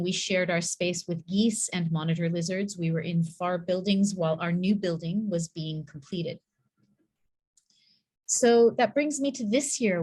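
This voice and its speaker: Slow, measured woman giving a conference talk. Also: on the low side. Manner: measured, reflective, with soft laughs. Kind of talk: conference presentation. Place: conference hall.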